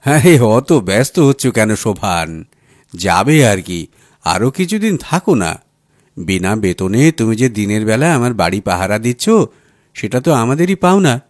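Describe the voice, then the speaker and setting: A man's voice: fairly low pitch, big pitch swings, unhurried, no room sound, studio quality. A man voicing a stern, scheming businessman husband in an audiobook, with grave, emphatic, clipped phrases. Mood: genial. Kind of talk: acted audiobook dialogue.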